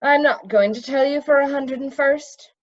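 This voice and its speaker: A smooth, fluid-toned wizard ringleader played by a woman reading aloud. He is a condescending storybook villain who waves doubts away. The recording sounds dull. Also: high voice, normal speed, pitched above the performer's own voice, close to an everyday voice. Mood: condescending, annoyed. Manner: condescending, dismissive.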